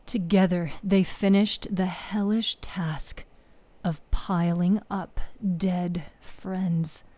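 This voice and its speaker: A woman narrating a scripted fantasy story in a solemn, dramatic manner with hushed phrase endings. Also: fairly low pitch, fairly even intonation, extremely slow, no room sound.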